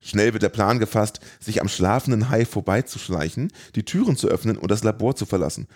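A man's voice: fairly low pitch, lively intonation, a little fast, a little mellow. A man reading out a scripted, comedic plot recap of a film.

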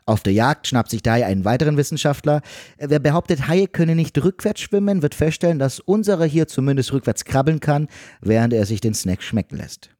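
A man's voice: mid-range voice, fairly quick, mellow, dry recording, studio quality. A man reading a scripted comedic film recap in a wry, sarcastic manner.

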